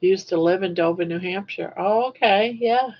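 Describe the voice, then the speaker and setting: An older woman from Maine with a southern Maine accent, hosting a casual livestream chat while reading viewer comments in a rambling, self-correcting way with soft trailing endings. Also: medium pitch, normal speed, highs cut off. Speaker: livestream host.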